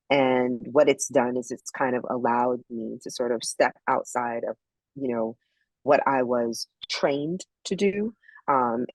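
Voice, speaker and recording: A woman's voice: a bass voice, a little mellow, no echo, poor audio. A middle-aged woman from Barbados, a podcast guest telling her story in a reflective, casual way with many ums. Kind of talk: casual interview chat.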